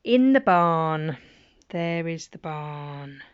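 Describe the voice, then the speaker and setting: A woman's voice: sits low, animated, drawn-out words, clear, resonant tone, no echo, dull audio. A middle-aged woman reading children's poems aloud at bedtime, with playful, chatty asides between the poems.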